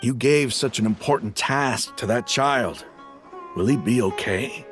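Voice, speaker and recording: A man voicing a composed, thoughtful male ally in a video game, measured and a little dry. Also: mid-range voice, music in the background, natural voice. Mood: calm. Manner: measured, calm.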